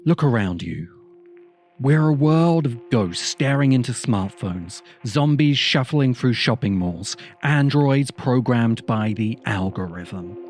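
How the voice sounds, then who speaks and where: A man reading a scripted video essay in an earnest, measured, literary manner. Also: mid-range voice, lively intonation, darkish tone. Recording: music bed under the voice. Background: background music.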